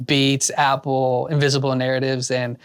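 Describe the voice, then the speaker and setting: A man's voice: medium pitch, completely flat delivery, moderate pace, clean tone. A man hosting an interview, asking rambling, earnest questions full of restarts. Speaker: interview host. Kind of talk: casual interview.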